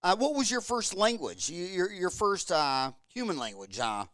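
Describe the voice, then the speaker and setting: A man hosting a conversational podcast interview, putting his questions in a halting way with repeated restarts. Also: sits high, very animated, fairly bright tone, no room sound, clean studio sound.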